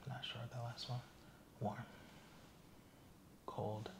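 Male ASMR patient, subdued and unhurried, short hesitant replies, clear room noise behind. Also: mid-range voice, level tone. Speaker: patient being examined. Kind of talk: quiet ASMR roleplay, short answers. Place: small indoor room set up as exam office.

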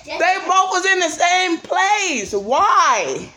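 A woman's voice: extremely high pitch, big pitch swings, drawn-out words, sharp tone, a little hiss or hum. A young woman, playfully filming a hide-and-seek game on a handheld phone as she walks through an empty house. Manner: playful, teasing, sing-song. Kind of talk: casual handheld vlog. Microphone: phone held in hand while walking.

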